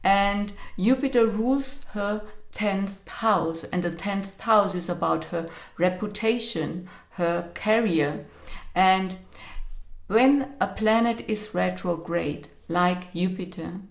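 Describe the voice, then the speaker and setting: A woman speaking English as a second language, calmly explaining astrology in a slow, hesitant monologue with many pauses and fillers. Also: mid-range voice, echoey room. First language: not English.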